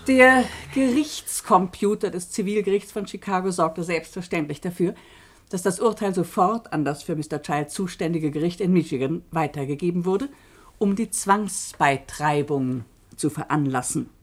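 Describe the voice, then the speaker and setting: An actress playing a radio play presenter who explains a case in a pointed, emphatic, ironic way. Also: female voice, on the low side, normal speed, lightly acted. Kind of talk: German radio drama narration addressed to listeners.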